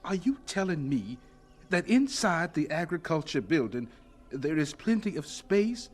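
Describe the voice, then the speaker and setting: A man's voice: on the high side, sing-song, unhurried. An actor playing an earnest, polite, anxious male scientist in a radio drama, hesitant and stammering as he speaks. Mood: eager, perplexed.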